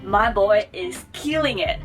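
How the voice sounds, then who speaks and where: A woman's voice: mid-range voice, animated, measured pace, soft background music. A woman in her twenties from Taiwan, chatting to camera as a vlogger, rambling with many fillers and restarts. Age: 20s. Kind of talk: casual chat to camera.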